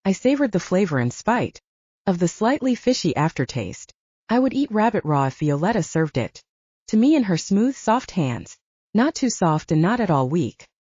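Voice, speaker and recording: A woman's voice: lowish voice, big pitch swings, normal speed, a little mellow, no echo, lo-fi sound. A woman reading a scripted audiobook story as its narrator.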